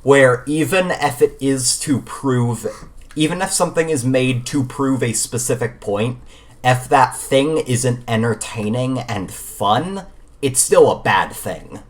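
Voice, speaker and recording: Male podcast co-host, casual and halting. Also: medium pitch, lively intonation. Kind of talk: casual chat about games and films.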